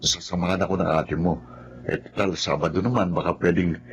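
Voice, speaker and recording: A man playing a kind older brother with a very deep, soft-spoken voice and faint noise behind him. He is patient and gentle in a television drama. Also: fairly even intonation, fairly quick, dull audio, lightly acted.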